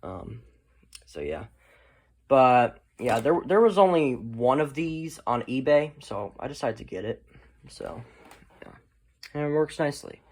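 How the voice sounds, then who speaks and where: A man's voice: mid-range voice, sing-song, measured pace, pauses often, darkish tone. A man chatting casually as a hobbyist presenter, rambling and often trailing off at the end of his thoughts. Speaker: hobbyist collector presenting a video. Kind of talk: casual show-and-tell chat.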